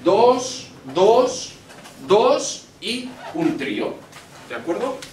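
A man in his thirties from Spain, teaching a language class with slow, clear instructions in a small classroom. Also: slight background noise. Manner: slow and deliberate, lively questioning pitch. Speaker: Spanish teacher. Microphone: far camera. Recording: echoey classroom from far off.

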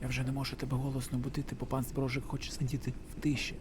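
A man voicing a poor, polite young girl in a visual novel, gentle and coaxing. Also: mid-range voice, monotone, very fast, noisy background, pitched above the performer's own voice, natural voice. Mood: apologetic, hushed. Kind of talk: theatrical voice acting for a visual novel.

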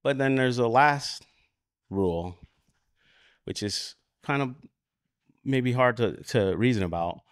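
A man with an American accent giving an informal, explanatory conference talk, halting as he searches for words and often checking in with "right?". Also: medium pitch, clear, resonant tone, no echo, studio quality. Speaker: conference speaker. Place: conference room at a lectern.

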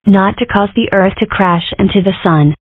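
A woman's voice: mid-range voice, normal speed, husky, no room sound, lightly acted. A woman voicing a cheeky, contrary young girl fox in an amateur comic dub, delivering dry jokes matter-of-factly. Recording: muffled, phone-like narrow sound.

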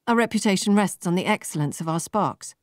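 Confident young female recruiter for an audiobook, bright-mannered, chirpy, condescending, pitched up, acted by a woman reader. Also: expressive, a little fast, no room sound, studio quality, lightly acted. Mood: brisk agreement. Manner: chirpy, polished, patronising.

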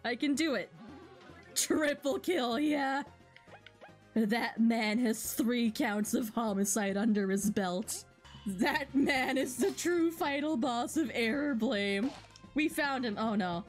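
A woman doing playful, excitable live game commentary and reading dialogue aloud with mock drama. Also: on the high side, slow pace, faint music behind. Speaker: game streamer.

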